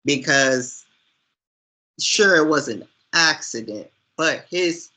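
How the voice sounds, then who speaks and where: A man with a forceful, bright voice at a middle pitch talks through a film as a co-host. He takes his time, sounding earnest and opinionated, and leans on his points with emphasis. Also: poor audio. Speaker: podcast co-host discussing films. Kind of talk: conversational film commentary.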